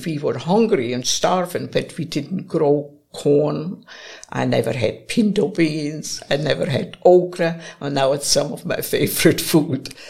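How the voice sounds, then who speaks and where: An elderly German-accented woman from Bavaria, in her eighties, reminisces about her life in an easy, chatty way. She speaks slowly and leaves long pauses. Her voice has a gravelly, creaky texture. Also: deep voice. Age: 80s. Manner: slow, chatty reminiscing with occasional laughs. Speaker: interviewee. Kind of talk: personal storytelling.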